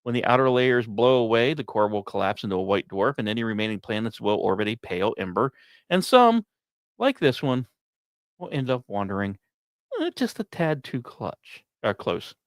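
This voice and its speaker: Male science podcast narrator, medium pitch, measured scripted storytelling with deliberate pauses, recorded dry. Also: animated, dry recording. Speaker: podcast host.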